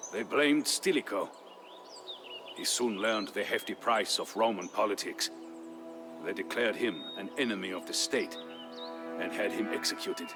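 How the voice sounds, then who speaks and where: A man narrating as a grave Visigoth storyteller, recounting war history in a solemn, measured, theatrical way for a historical game. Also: medium pitch, sharp tone. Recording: music behind the voice. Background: music.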